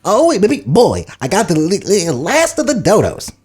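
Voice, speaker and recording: A man's voice: high-pitched, huge pitch swings, normal speed, a little mellow, husky, soft background music, pitched above the performer's own voice, full character voice. A man voicing scratchy cartoon animal characters, a pig and a bird, with stammers, in a script table read. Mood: gleeful. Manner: stuttering. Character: stuttering cartoon pig and scratchy long-beaked dodo bird.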